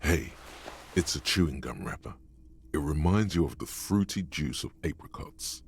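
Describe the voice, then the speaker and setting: An actor voicing a game's narrator, an inner voice that addresses the player with wry, goading remarks in a deep, husky tone. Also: male voice, animated, moderate pace, exaggerated voice. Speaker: game narrator voice. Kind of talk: scripted game narration.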